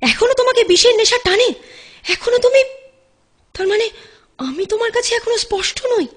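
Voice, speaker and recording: Audio drama: actress as insistent, loving woman caring for a troubled partner; very high, highly animated, theatrical. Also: moderate pace. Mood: anguished reproach. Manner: pleading, emphatic, theatrical delivery. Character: devoted, anguished, insistent woman nursing her addicted lover.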